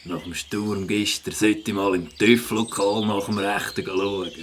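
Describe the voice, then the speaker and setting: A man playing a rowdy, bossy grown-up biker animal in a Swiss German children's audio drama, with a raspy voice and slangy talk. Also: lowish voice, fairly even intonation, measured pace, slight background noise, lightly acted. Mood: grumbling. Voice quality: raspy, gravelly.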